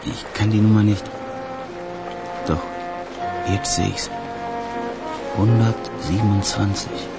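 Gravelly henchman on a stakeout. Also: fairly low pitch, fairly even intonation, slow pace, long pauses, loud music behind the voice. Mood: watchful, focused. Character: low-ranking male tail reporting on a watched woman. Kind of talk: German radio crime drama acting.

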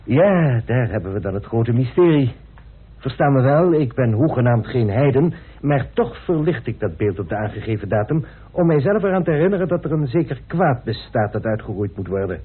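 Courteous gentleman householder, solemn storyteller, slightly husky, radio play, narrow old recording. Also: mid-range voice, sing-song, a little fast. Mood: solemn, confiding. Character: courteous, grave gentleman householder haunted by family mystery. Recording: muffled, band-limited old radio recording.

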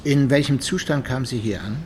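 An actor playing a brisk, sceptical, commanding male lead investigator in a radio drama. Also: medium pitch, darkish tone, slight background noise, lightly acted. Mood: probing.

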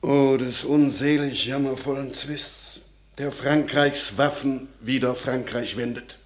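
A man's voice: mid-range voice, fairly even intonation, slow pace, lightly acted. An actor playing an anxious old village father who speaks in solemn, warning tones in classic radio drama verse. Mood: troubled.

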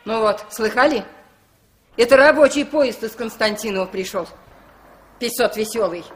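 A woman's voice: fairly high pitch, moderate pace, lightly acted, poor audio. An actress playing a fussy, anxious middle-aged village woman with folksy chatter in a radio play. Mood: bossy, anxious. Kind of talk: 1960s Soviet radio play acting.

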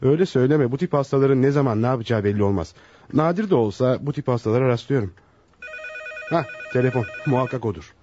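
An actor playing a composed, courteous doctor who speaks formally and soothingly in an old radio play. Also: male voice, medium pitch, quick pace, dark tone, slight background noise, natural voice. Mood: earnest. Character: composed, reassuring male doctor, courteous with patients. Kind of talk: old radio theater acting. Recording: dull, lo-fi old radio drama.

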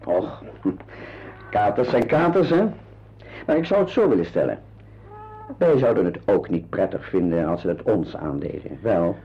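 A man's voice: low-pitched, lively intonation, normal speed, long pauses. An actor playing a genial, tactful veterinarian in a radio drama, informal as he gives advice. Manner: informal, advising. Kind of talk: radio drama acting, conversational. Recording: dull lo-fi radio play recording.

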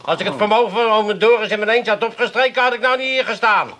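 An actor playing a put-upon, no-nonsense barkeeper with an Amsterdam accent, blunt and matter-of-fact in radio comedy style. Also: male voice, high-pitched, fast, bright, forceful tone. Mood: exasperated. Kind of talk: 1980s radio comedy acting.

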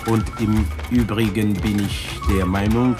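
An actor playing a Senegalese footballer who recites halting, careful German with a French-speaking West African accent in a radio drama. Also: male voice, lowish voice, steady tone, close to an everyday voice. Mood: earnest, careful. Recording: loud music under voice.

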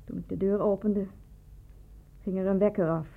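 A woman's voice: mid-range voice, steady tone, normal speed, vocal fry, slight background noise, lightly acted. A woman in a radio drama playing a wary, wounded nurse in her thirties who speaks in a defensive, confessional way. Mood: bitter confession. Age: 30s. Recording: dull lo-fi tinny radio play recording.

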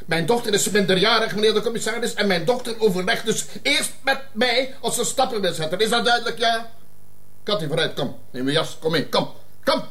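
A man's voice: high-pitched, expressive, a little fast, a bit bright. An actor playing an overbearing, indignant middle-aged father with a formal, domineering manner in a TV drama. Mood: indignant, commanding. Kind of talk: heated TV drama dialogue.

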